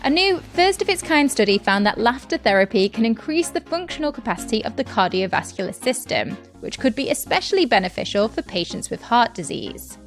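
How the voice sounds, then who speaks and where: A woman's voice: fairly high pitch, very animated, a little fast, few pauses, soft background music. A woman presenting scripted news as a podcast host.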